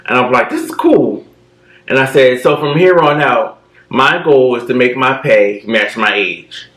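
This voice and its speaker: A man in his thirties telling stories in a relaxed, casual way, filling his talk with "you know". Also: medium pitch, unhurried, roomy sound. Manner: relaxed storytelling with many fillers. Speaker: co-host. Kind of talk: casual chat.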